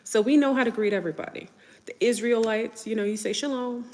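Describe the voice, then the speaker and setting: A woman's voice: on the high side, fairly even intonation, quick pace, a little mellow. Chatty New woman, reverberant room. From New York. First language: French. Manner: rambling, chatty, answers viewer comments. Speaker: livestream host. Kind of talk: casual livestream chat.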